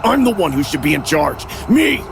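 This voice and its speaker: A man's voice: on the high side, sing-song, moderate pace, vocal fry, noisy background, pitched above the performer's own voice. A man voicing an enraged, menacing young man who sneers and growls threats in a dramatic horror story.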